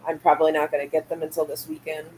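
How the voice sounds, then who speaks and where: A woman's voice: low voice, monotone, rapid-fire, a little mellow, slight background noise, low-quality recording. A woman hosting a casual late-night livestream from a home room lit by fish tanks, talking in a meandering, hesitant way. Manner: meandering and hesitant, many fillers and trailing thoughts. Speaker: livestream host.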